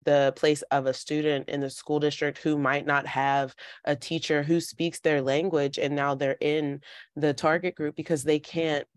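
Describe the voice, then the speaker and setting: A woman's voice: low voice, level tone, normal speed, clear, resonant tone, no echo, clean studio sound. A woman taking part in a workshop discussion, speaking informally and tentatively as she reasons aloud. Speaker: workshop participant.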